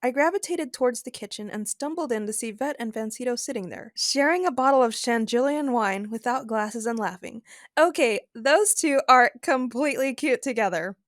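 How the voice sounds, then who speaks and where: Woman acting feisty sci-fi heroine, candid n sardonic, highish voice, super expressive, close dry sound. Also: normal speed, no echo, natural voice. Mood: reflective. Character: frank, feisty, worried woman, a galactic adventurer. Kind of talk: first-person narration and dialogue in an audio drama.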